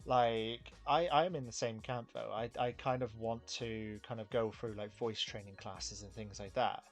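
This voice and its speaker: A man's voice: lowish voice, fairly even intonation, moderate pace, soft background music. A British man with a British accent and a slight regional twang, hosting a casual chat, thoughtful and rambling, at times a little nasal. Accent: British, neutral standard with a regional twang. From Britain. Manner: thoughtful, rambling, says he can sound nasal. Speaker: podcast host. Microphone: desk microphone.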